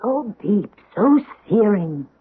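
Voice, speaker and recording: A woman's voice: medium pitch, very animated, slow pace, slightly rough, narrow, tinny audio, exaggerated voice. A woman playing an anguished, devoted middle-aged stage actress in a theatrical, intense 1970s radio drama style. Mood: anguished, searching.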